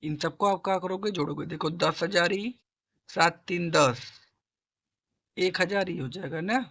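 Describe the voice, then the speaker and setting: Hoarse male math tutor. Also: high voice, measured pace, a bit bright, highs cut off. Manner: coaxing, asks and answers own questions, clears throat. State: hoarse, sore throat. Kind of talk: classroom-style math lesson.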